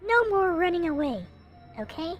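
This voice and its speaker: Visual novel heroine, read by a female narrator: young woman, high lively voice, gentle yet stern and encouraging, over music. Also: normal speed, slight background noise. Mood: stern, urging. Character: gentle but firm blind young woman, Cindy.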